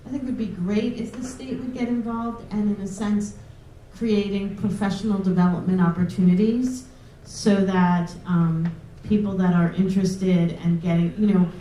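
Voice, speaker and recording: A woman's voice: medium pitch, steady tone, moderate pace, warm tone, slight background noise. Rambling woman researcher, distant and roomy. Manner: thoughtful, rambling, many restarts. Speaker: researcher in nutrition and food science. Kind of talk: panel discussion. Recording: roomy room audio from a distance.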